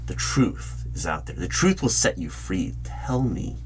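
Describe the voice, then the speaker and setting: A man performing a spoken ASMR roleplay as a suspicious, probing hiring manager of a secret bureau, asking questions deliberately with dramatic pauses. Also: low-pitched, very animated. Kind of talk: loud-spoken ASMR roleplay, one-sided interview. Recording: clear hum and keyboard typing noise. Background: typing on a computer keyboard.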